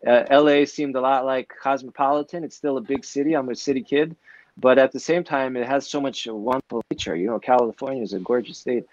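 A man's voice: mid-range voice, fairly even intonation, a little fast, no echo. New man on a dull video call. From New York City. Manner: warm, rambling, many fillers and restarts. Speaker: therapist guest. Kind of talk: conversational interview answers. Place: indoor office, video call. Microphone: earbuds on a video call.

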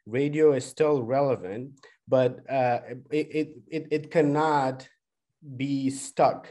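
A man's voice: medium pitch, fairly even intonation, mellow. Man on a webinar video call. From Afghanistan. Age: 30s. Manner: measured, explanatory, with many fillers and restarts. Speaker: guest, head of a news service. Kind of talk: webinar panel answer. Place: indoors on a video call, virtual background. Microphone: webcam video call.

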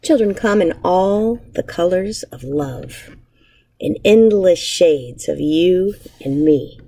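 A woman reads a children's picture book aloud in a slightly low, mellow voice with some gravelly fry. Her phrasing is expressive and measured, like a teacher at storytime. She is recorded in a classroom on a device at arm's length. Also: a little hiss or hum. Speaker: elementary school teacher. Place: indoors, empty school classroom.

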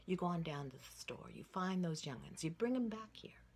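An impatient storybook old lady, performed by a woman storyteller, bossy and steaming with irritation. She hammers out orders with animated, swooping pitch. Some noise sits behind the voice. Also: moderate pace. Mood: fuming. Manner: bossy, emphatic. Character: fuming, impatient old lady demanding her pancakes.

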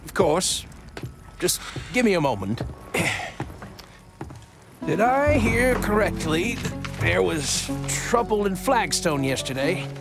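A man's voice: sits high, big pitch swings, slow pace, creaky, long pauses, over music, heavily acted. A man acting in a video game as a smug, scheming groom, hesitant and bullying, cruel and domineering. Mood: uneasy, needling.

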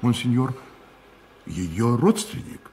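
A man's voice: mid-range voice, sing-song, slow, darkish tone, a little hiss or hum. An actor playing a cardinal's deferential henchman, a count, respectful and guarded, in a radio play. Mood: wary, obedient.

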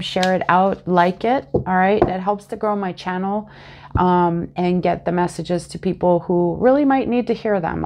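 A woman in her thirties, a bit congested and sniffly, chatting casually through a tarot reading to camera on a lapel mic in a small room at home. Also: on the low side. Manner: chatty and animated, talks with her hands. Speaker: tarot reader.

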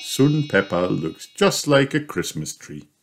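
A man's voice: medium pitch, sing-song, a little slow, heavy background noise. A man reading a scripted children's story aloud as its narrator.

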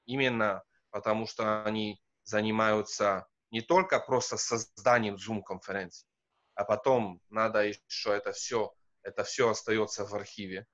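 A man's voice: lowish voice, steady tone, normal speed, fairly bright tone, dull audio. A man in his thirties, a professor and non-native speaker, answering on a video call with hesitant, filler-laden speech. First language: not Russian. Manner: hesitant, many fillers and restarts. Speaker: professor, guest. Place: wood-panelled room indoors.

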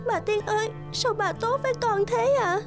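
A woman's voice: extremely high pitch, moderate pace, music in the background. A woman voicing a sweet, meek, kind-hearted young girl in a cartoon, polite and plaintive. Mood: amazed, grateful.

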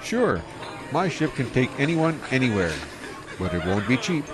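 A man's voice: mid-range voice, sing-song, moderate pace, music in the background, a crowd in the background, natural voice. An actor playing an easygoing young male starship pilot who talks in a casual, laid-back way in an audio drama.

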